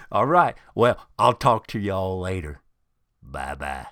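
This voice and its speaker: A man voicing a crude, boastful, middle-aged couch football fan who rants loudly and swears a lot on a podcast. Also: deep voice, big pitch swings, slow, dry recording, heavily acted. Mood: blustering. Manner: loud, swaggering, profane ranting. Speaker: podcast host in character. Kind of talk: improvised comedy character monologue.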